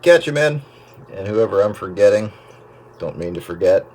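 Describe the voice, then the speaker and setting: A man's voice: fairly low pitch, expressive, normal speed. A man signing off casually over two-way radio. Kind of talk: casual sign-off. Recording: radio signal with heavy static.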